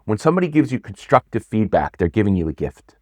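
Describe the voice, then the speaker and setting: Middle-aged male coach, very deep voice, animated and stumbling, close dry mic. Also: fast, no echo. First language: not Swedish; English speaker. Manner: animated, repeats words, lively stresses. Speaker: pitch coach guest. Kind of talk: casual interview talk.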